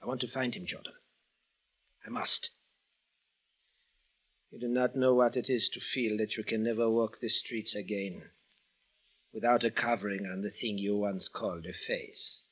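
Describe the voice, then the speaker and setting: A man's voice: on the low side, fairly even intonation, normal speed, pauses often, slightly rough. An actor playing a big, vengeful man from Damascus in an old radio drama, speaking formal, stilted English. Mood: urgent, embittered. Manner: formal, stilted foreigner's phrasing without contractions. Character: tall, big, menacing, vengeful bandaged foreign man from Damascus. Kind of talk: 1940s-50s radio drama acting. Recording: dull old radio recording.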